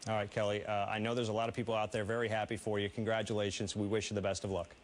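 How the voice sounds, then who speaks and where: A man's voice: on the low side, flat delivery, rapid-fire, slight background noise. A man hosting a sports news program, speaking in a polished presenter's delivery as he introduces and interviews guests. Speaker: sports news show host.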